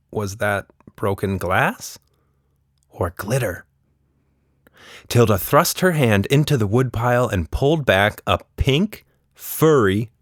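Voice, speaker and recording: A man's voice: mid-range voice, no echo. A man hosting and narrating a children's story podcast expressively, recorded up close.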